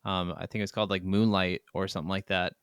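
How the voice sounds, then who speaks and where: A man's voice: deep voice, level tone, quick pace, a bit bright, clean tone, no echo, studio quality. A man co-hosting a gaming podcast, chatting in an easygoing, slangy way. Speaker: podcast co-host. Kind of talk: casual gaming podcast chat.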